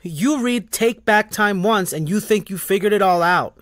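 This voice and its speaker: A woman's voice: medium pitch, moderate pace, lightly acted. A woman playing a cocky, teasing schoolboy who loves games and comics, in an audio drama.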